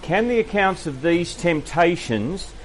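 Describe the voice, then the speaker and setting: A man's voice: high voice, lively intonation, unhurried, rough recording. A man, a pastor, answering faith questions from the congregation in a thoughtful, hesitant way with many fillers. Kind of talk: Q&A sermon.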